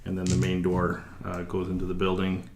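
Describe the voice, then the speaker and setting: A man narrating as dungeon master, describing scenes in a relaxed way with many fillers. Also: low voice, brisk, echoey room, noisy background. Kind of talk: narrating a tabletop game.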